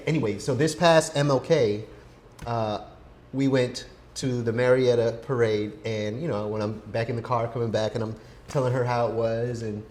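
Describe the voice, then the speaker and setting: A man's voice: mid-range voice, a little mellow. An American man in his forties, casually telling personal stories as a podcast co-host in a conversational, teasing way. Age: 40s. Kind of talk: casual chat, personal storytelling. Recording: clear background noise, room ambience.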